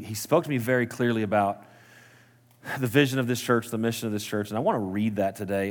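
A man's voice: lowish voice, long reverb tail. A man, preaching a sermon in a casual, earnest way that restarts and corrects itself. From Texas. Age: 30s. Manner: conversational, self-correcting, earnest. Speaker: pastor. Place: church sanctuary.

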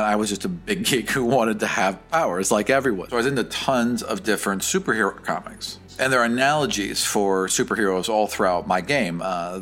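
Low-voiced young man game master. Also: a bit bright. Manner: thoughtful, rambling, many "uh" fillers, chuckles. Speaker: dungeon master and game creator. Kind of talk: casual interview answers.

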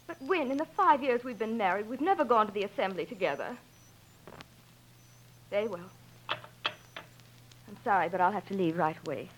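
Actress doing polite upper class wife, 40s radio drama, medium pitch. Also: female voice, lively intonation, normal speed, long pauses, a little hiss or hum, natural voice, rough recording. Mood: disappointed, curt. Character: genteel society wife, polite, disappointed in her husband. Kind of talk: 1940s radio drama acting.

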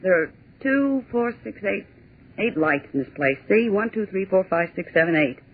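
A woman's voice: mid-range voice, sing-song, breathy edge. An actress playing a brash, sarcastic young woman typist in a 1940s radio drama, with a scoffing, bossy way of talking. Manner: scoffing, bossy, quick retorts. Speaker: office typist. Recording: tinny 1940s radio broadcast.

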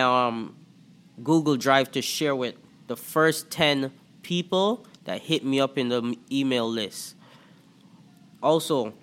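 A man with a Toronto accent hosting a podcast as a coach, talking loosely with lots of fillers and pauses. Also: mid-range voice, a bit bright. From Antigua and Barbuda. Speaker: podcast host and life coach. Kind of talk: casual podcast talk.

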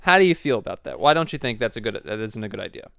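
A man's voice: fairly low pitch, big pitch swings, racing, creaky, fairly bright tone, clear, resonant tone, no room sound, clean studio sound. A young man hosting a casual podcast, teasing and playfully questioning his grandmother. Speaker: podcast host.

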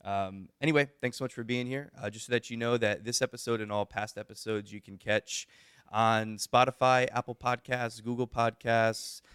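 A man hosting a casual podcast, easygoing and joking, with plenty of pauses and fillers. His voice is smooth and resonant. The recording is dry and close. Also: medium pitch, no room sound. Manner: relaxed, halting with fillers, joking. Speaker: podcast host.

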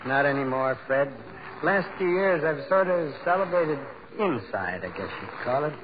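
A man acts out a wistful, plain-talking shoemaker in a vintage radio play. His pitch moves expressively as he muses in folksy, homespun phrases. The old recording is muffled, with clear noise. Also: expressive, moderate pace, close to an everyday voice. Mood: wistful, reflective. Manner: folksy, ungrammatical, reflective. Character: plain, wistful New York shoemaker, quietly hopeful. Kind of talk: 1940s radio drama acting.

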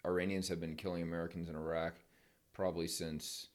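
A middle-aged man, a military veteran guest, giving measured, plain-spoken opinions in a casual podcast chat. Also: low voice, flat delivery.

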